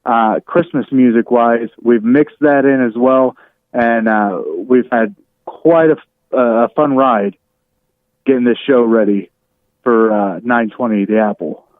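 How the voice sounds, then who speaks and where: A man speaking over a narrow telephone line as a radio guest, chatting casually and haltingly as he thinks aloud. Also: medium pitch, no room sound. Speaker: radio host, interview guest. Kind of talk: casual chat on a phone interview. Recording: tinny narrow phone line.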